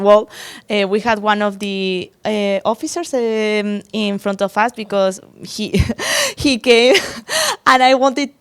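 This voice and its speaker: A woman's voice: fairly high pitch, fairly bright tone, clean tone. A woman from Spain with a Spanish accent, hosting a conversational podcast interview. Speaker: podcast host.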